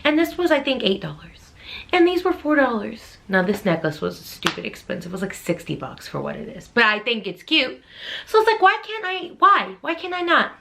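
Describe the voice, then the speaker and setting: A woman in her thirties from Texas with a Texan accent, chatting quickly and casually to camera about books she wants to read. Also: a little high, very animated, vocal fry, pushed and bright, slight background noise. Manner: chatty, quick, addresses viewers as y'all. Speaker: book vlogger.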